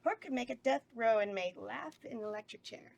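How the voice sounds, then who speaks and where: A woman's voice: on the high side, animated, a little fast, vocal fry, darkish tone. A woman playing a teasing, giggly succubus clown girl who hosts a campy horror show at a table read. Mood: playful. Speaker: actor reading a horror hostess at table read.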